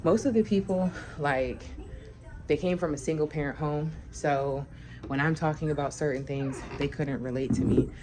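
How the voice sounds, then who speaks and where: A young woman with a low voice speaks bluntly and with feeling, straight to her viewers in a candid vlog. Room noise sits clearly behind her voice. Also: normal speed. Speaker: vlogger. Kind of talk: candid talking-to-camera chat.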